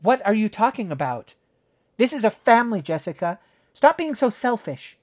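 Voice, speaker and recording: A man's voice: on the high side, unhurried, a little mellow, voice pushed up, close to an everyday voice. A man playing a scolding mother. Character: stern, exasperated mother.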